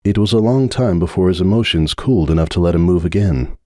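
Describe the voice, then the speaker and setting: A man narrates a dramatic fan-story reading in a very low, mellow voice. His pacing is measured and brooding. The recording is dry and close, with no room echo. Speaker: audiobook narrator.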